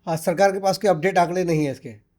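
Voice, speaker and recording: A man's voice: sits high, fast, darkish tone. A man interviewing a guest, putting in brief acknowledgements and short prompts.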